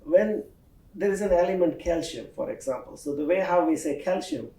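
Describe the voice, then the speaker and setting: A man's voice: fairly high pitch, moderate pace, warm tone, soft background music. A man from India with an Indian accent, explaining pronunciation and stressing his examples. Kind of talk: casual interview answer. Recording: echoey, distant from mic.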